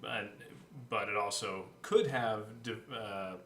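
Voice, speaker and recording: A man co-hosting a casual behind-the-scenes chat, telling stories haltingly and often restarting his sentences. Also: on the low side, a bit bright, a little hiss or hum. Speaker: podcast co-host. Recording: echoey room sound.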